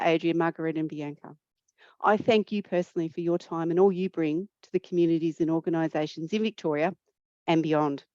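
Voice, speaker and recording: A woman facilitating an online panel discussion, speaking in a measured way and reading a prepared welcome. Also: lowish voice, darkish tone, no room sound, lo-fi sound. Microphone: video call.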